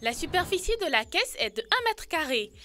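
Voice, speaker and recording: A woman's voice: high-pitched, sing-song, normal speed, bright, forceful tone, full character voice. A woman voicing an earnest, clever young girl who explains things in a French children's cartoon. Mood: eager. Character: earnest, clever young schoolgirl taking charge.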